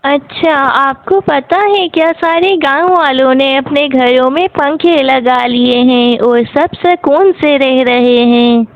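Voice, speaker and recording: Woman playing a high-voiced, gentle village housewife. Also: slow pace, few pauses, dry recording, lo-fi sound. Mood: curious.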